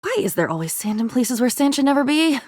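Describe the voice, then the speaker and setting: A woman's voice: sits high, very animated, fast talker, darkish tone, dry recording, studio quality. An actress playing an unhinged, dreamy woman with a volatile temper who rambles disjointedly in a video game. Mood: puzzled, rambling. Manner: disjointed rambling, swinging between wistful and agitated. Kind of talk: video game character voice acting.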